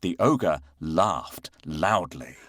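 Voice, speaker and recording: A man narrating a children's story in a dramatic, animated storytelling manner, addressing young listeners. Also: sits low, extremely slow, no room sound, studio quality.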